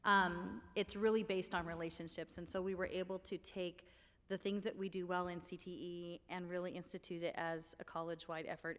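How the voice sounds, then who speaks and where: A confident, plainspoken woman administrator with a big, loud voice, answering at a board meeting. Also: lowish voice, big-room sound. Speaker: college administrator.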